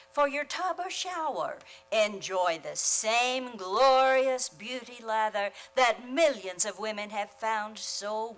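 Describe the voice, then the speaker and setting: A woman delivers a 1940s-style radio advertisement. Her voice sits a little high and she takes her time, selling each phrase persuasively. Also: fairly bright tone. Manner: deliberate, persuasive sales delivery. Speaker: commercial announcer. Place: theater stage, radio play performance.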